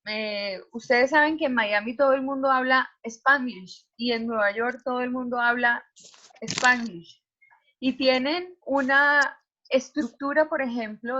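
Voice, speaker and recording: A woman teacher giving a lecture to her students, explaining slowly with many examples and small fillers. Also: sits high, sharp tone, highs cut off.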